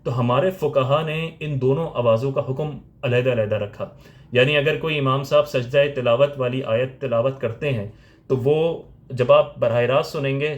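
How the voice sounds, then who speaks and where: A man's voice: mid-range voice, lo-fi sound. A man with a Pakistani accent explaining religious points step by step, like a teacher giving a lecture.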